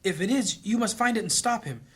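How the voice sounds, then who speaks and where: Casting: worried, earnest cleric for fantasy audio drama, rapid delivery, raspy-soft husky tone. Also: male voice, sits high, animated, full character voice. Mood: urgent, earnest. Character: earnest, anxious male priest on a covert search.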